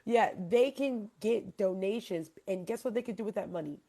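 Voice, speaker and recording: A woman's voice: medium pitch, moderate pace, mellow. A woman from Hawaii hosting a casual debate, talking in a rambling, emphatic way and often putting questions to her listeners. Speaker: host.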